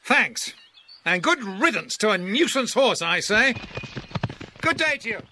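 A callous horse owner in a children's audio drama. He blusters scornfully in a high, very sharp voice that swoops up and down. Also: very animated, measured pace, full character voice. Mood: grudging. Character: bullying, callous male horse owner, gruff and indignant. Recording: faint background noise on cassette transfer.

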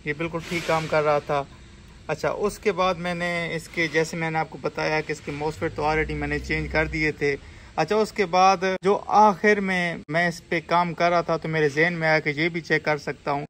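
Bright-voiced man, fairly high pitch. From Pakistan. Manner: explanatory, step by step. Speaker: repair technician and video host. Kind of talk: informal hands-on repair tutorial. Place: indoor repair workshop. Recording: clear background noise in a workshop.

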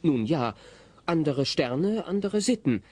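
A man narrating a German radio play as a scripted storyteller, with an expressive, wry delivery. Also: fairly high pitch, slow, slight background noise.

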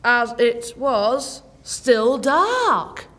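Slow, high-voiced woman storyteller. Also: sing-song, a bit bright. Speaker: narrator. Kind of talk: scripted children's story narration.

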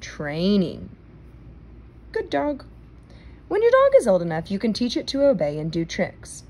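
A woman reading a children's nonfiction book aloud in a careful, deliberate manner. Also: medium pitch, wildly swooping pitch, warm tone. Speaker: read-aloud reader.

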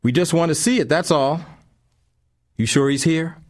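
A man putting on rough street thugs from a storybook, loud, menacing and threatening. The voices ride well above his deep natural tone, pushed and forceful with a rough street drawl. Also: moderate pace, close to an everyday voice. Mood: tense. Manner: shouting, rough street talk.

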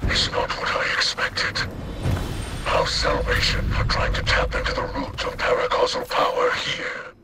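An actor playing a solemn alien captain in a video game, with breathy, whispery speech and a formal manner. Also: male voice, very noisy, natural voice. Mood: solemn, intent. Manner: formal, measured. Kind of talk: game dialogue. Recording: loud game music behind.

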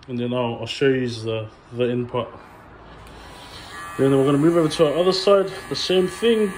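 A young man, a barber, talking through a haircut tutorial in a relaxed, plain way with frequent ums. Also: medium pitch, normal speed, slight background noise, poor audio.

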